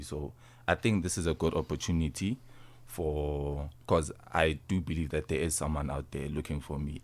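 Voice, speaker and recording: A nineteen-year-old man with a South African accent, answering interview questions informally as a sports guest, often repeating and rephrasing. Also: very deep voice. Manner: informal, repeats and rephrases, tags phrases with "you see". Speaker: interview guest, rugby player.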